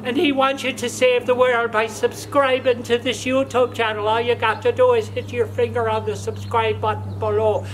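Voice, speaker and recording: A woman hosting a comic monologue, gossiping in a pious, scolding way and dropping low for asides. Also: level tone, moderate pace, few pauses, a little hiss or hum, rough recording. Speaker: comedy show host.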